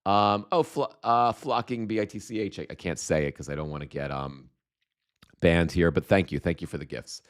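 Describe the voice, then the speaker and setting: A man hosting a live stream with a slight cold and a voice going hoarse, chatting in a rambling, halting way full of fillers and restarts while reading viewer comments. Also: low-pitched. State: slight cold, losing his voice. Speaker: livestream host.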